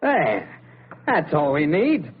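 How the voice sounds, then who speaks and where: George, slow-talking jaunty henchman. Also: fairly high pitch, huge pitch swings, breathy edge, full character voice. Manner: jaunty, deferential, taunting. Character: obedient, mocking young male henchman, George. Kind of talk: old-time radio thriller acting. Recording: narrow old radio recording.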